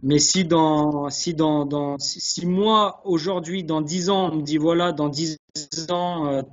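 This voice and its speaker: Guy with a highish voice chatting in an interview, halting and sincere, kinda muffled lo-fi call sound. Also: steady tone. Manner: earnest, hesitant, repeats words as he searches. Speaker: guest, chef sommelier. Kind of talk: conversational interview answers. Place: online video call. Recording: dull lo-fi webinar audio.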